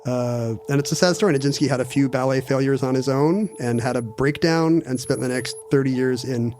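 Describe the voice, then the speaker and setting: A man co-hosting a podcast, recounting stories in a chatty way with quick wisecracks. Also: medium pitch, fairly even intonation, a little mellow, a little hiss or hum. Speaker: podcast co-host. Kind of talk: casual chat, storytelling.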